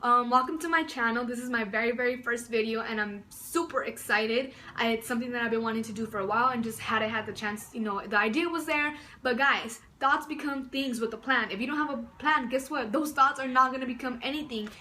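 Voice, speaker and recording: Young woman, eager rambling talk to camera, clear ringing voice, faint room hiss behind. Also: a little high, brisk, hardly any pauses, pushed and bright. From Mexico, raised in Southern California. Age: early 20s. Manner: enthusiastic, rambling, many fillers and restarts. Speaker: vlogger. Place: indoors by a window at home.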